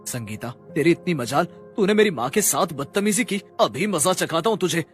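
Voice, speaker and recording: A man's voice: fairly high pitch, animated, moderate pace, over music, lightly acted. A man playing a harsh, self-concerned husband with a stern manner in a cartoon story. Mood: furious.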